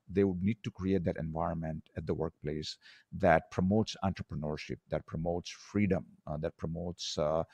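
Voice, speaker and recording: A man with an Indian accent talks as a podcast guest, walking through his ideas point by point. His voice sits very low. The sound is dry and close, with no room around it. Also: darkish tone, dry recording. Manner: explanatory, lists points. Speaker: guest author. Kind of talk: podcast interview.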